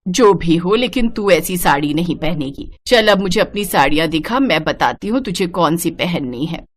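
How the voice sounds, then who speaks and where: Woman acting a middle-aged mother-in-law, domineering, disapproving, very expressive pitch, cartoon moral story. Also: moderate pace, natural voice. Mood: bossy. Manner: scolding, domineering.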